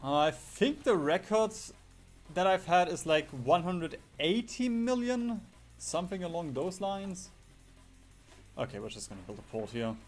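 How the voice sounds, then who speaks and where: Lively, higher-pitched man commentating on a game. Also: unhurried. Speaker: gaming streamer. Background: background music.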